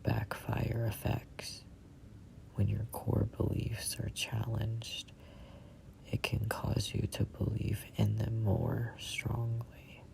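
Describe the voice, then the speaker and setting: A person in their twenties reading a book aloud as a whispered ASMR read-along, in halting, deliberate phrases. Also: medium pitch, completely flat delivery, vocal fry, a little mellow, poor audio. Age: 20s. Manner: halting, deliberate phrasing with stumbles over words. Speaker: reader of a self-help book.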